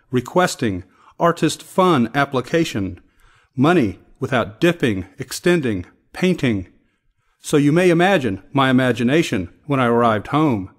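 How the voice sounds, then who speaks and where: Man reciting poetry, mid-pitched voice. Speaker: poetry reader.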